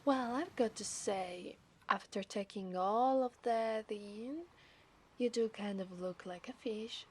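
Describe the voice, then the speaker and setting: A woman with an Italian accent voicing a coy, possessive mermaid in a soft, breathy ASMR roleplay. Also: mid-range voice, slow pace. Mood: playful, coy. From Italy. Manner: coy, teasing, breathy murmurs. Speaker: ASMR voice actress.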